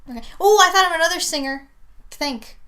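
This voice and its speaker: Bright high-voiced woman co-host. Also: lively intonation, fairly quick. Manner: laughs easily, filler-heavy. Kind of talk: casual chat and mock news reading.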